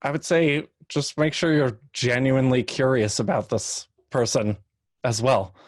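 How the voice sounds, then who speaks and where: A man giving casual advice as a panelist, informal and explanatory, often halting to rephrase himself. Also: mid-range voice, steady tone, no room sound, studio quality. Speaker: expert panelist. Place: online video call.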